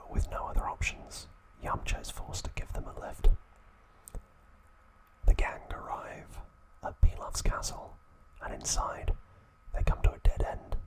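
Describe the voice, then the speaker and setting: A man narrating in a hushed, breathy ASMR whisper, in short phrases with pauses. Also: poor audio. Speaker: ASMR host.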